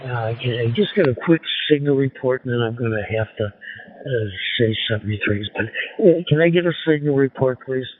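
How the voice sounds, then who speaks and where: A man giving a casual, halting amateur radio check-in over a microphone from a moving vehicle. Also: medium pitch, husky. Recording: thin, phone-like mobile radio transmission.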